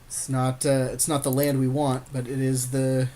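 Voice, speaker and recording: Male gaming co-host, medium pitch, loose conversational commentary on card plays, slangy. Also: monotone, moderate pace, mellow. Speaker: podcast co-host playing a card game. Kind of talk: casual game commentary chat.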